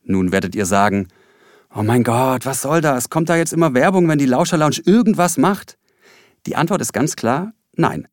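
Male podcast host, animated intonation, medium pitch, dry close sound. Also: normal speed, no echo. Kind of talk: scripted podcast announcement.

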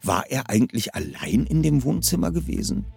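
A man's voice: mid-range voice, very animated, moderate pace, creaky, darkish tone, faint music behind. A man reading a novel aloud expressively, shifting his voice for the characters. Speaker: reader of a novel.

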